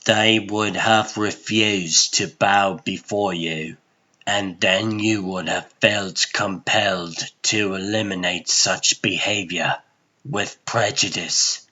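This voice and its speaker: Man voicing a husky, low, resolute sorcerer. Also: steady tone, pushed and bright, muffled recording, heavily acted. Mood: quietly resolute. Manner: restrained, measured, firm. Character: weary, resolute sorcerer standing up to an old friend. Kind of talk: dramatic comic-dub acting.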